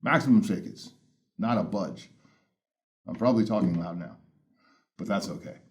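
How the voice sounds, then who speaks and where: Playful male host with a big voice and lively swings, for casual gear unboxing. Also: lowish voice, moderate pace. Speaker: headphone reviewer. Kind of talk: casual unboxing first impressions.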